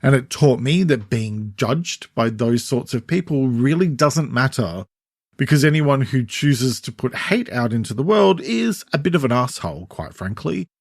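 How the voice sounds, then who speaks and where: A man's voice: mid-range voice, gravelly, breathy edge, no echo. Middle-aged Australian man, dry close-mic podcast voice. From Sydney, Australia. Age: 40s or 50s. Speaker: podcast host, mental health counsellor. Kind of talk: scripted solo podcast narration, conversational.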